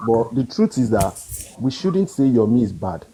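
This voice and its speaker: Man, mid-pitched teaser, noisy livestream audio. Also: big pitch swings, moderate pace, mellow. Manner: teasing, mocking, laughing. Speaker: live stream debater. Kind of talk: heated live audio debate.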